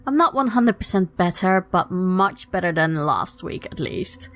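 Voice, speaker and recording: A young woman hosting a casual solo podcast, her voice a little husky from being ill, rambling chattily with many ums and restarts. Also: fairly low pitch, animated, a little slow, fairly bright tone, faint music behind. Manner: chatty, rambling, frequent ums and self-corrections. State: slightly husky from illness. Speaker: podcast host.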